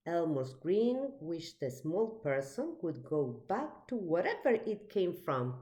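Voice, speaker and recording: A woman reading a children's picture book aloud in an expressive, deliberate storytelling manner. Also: fairly low pitch. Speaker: storybook reader.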